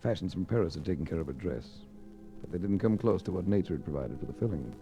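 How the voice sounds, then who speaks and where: A man's voice: low-pitched, expressive, quick pace, music in the background. An American man playing a cool, wry private investigator in a 1950s radio drama, with a dry, sardonic manner. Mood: wry narration. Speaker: lead actor and first-person narrator. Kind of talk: 1950s radio detective acting. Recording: muffled, noisy vintage radio recording.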